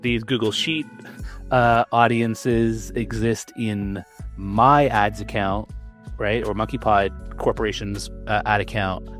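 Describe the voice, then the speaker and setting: A man's voice: fairly low pitch, steady tone, slow pace, fairly bright tone, faint music behind. A man hosting a casual business conversation, talking in a loose, chatty way with many fillers and restarts. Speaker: host.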